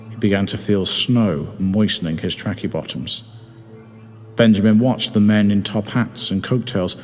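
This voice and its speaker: A man reading his own comic story as scripted narration, with a mock-dramatic storytelling manner. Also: fairly low pitch. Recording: music behind the voice.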